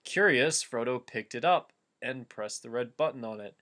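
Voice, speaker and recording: A man's voice: medium pitch, animated, normal speed, a bit bright. A man hosting a casual reading of fan fiction, switching between narrating the text and offhand commentary on it.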